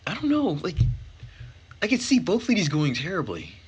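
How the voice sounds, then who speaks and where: A man's voice: high-pitched, huge pitch swings, moderate pace, breathy edge, dull audio. A man narrating a visual novel and commenting casually as he plays. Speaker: let's player. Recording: faint background noise.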